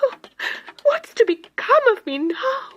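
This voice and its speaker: A woman's voice: very high-pitched, big pitch swings, a little slow, fairly bright tone, lo-fi sound, a little hiss or hum, voice pushed up, full character voice. A woman voicing a young female pine tree in a storybook, plaintive and theatrical, easily swept up in feeling. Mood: woeful, wailing. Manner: wailing, theatrical delivery.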